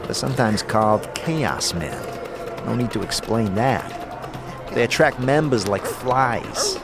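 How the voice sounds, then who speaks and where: A glib, excitable talking skull played by a man, full of streetwise slang and jitters. The delivery is very animated, like a fantasy audio drama sidekick, with clear music underneath. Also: mid-range voice, a little slow. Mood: wry, explaining.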